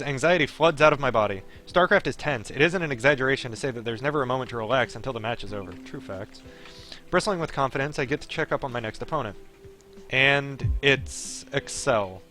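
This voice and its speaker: A man's voice: mid-range voice, fairly bright tone. A man streaming a game, reading its narration aloud expressively and dropping into casual offhand commentary. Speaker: streamer playing a visual novel.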